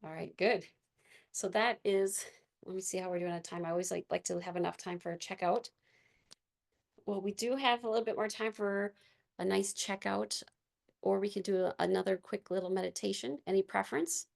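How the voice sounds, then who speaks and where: A woman with a raspy voice leading a gentle movement and meditation class, giving soft, unhurried instructions with fillers. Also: medium pitch, steady tone.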